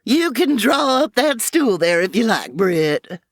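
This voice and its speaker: A woman's voice: a little high, very animated, slow, creaky, slight background noise, exaggerated voice. A woman voicing a motherly older Old West landlady in a comedy audio drama, with a homespun drawl and a fussing manner.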